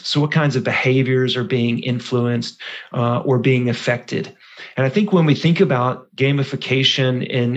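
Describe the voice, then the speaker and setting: A man moderating an academic conference panel, speaking in a measured way with filler uhs. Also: medium pitch.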